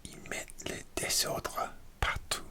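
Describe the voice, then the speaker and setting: Guy whispering asmr style, super slow, very bright tone, trips on words. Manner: slow, careful whisper, hesitant over unfamiliar words. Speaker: learner presenting dialect rules.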